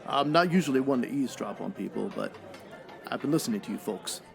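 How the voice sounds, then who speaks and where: A man playing a courteous, soft-hearted drifting gambler in a radio western, gravelly, with an American accent and an earnest, halting way of talking. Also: mid-range voice, lively intonation, a faint crowd. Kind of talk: old-time radio western acting.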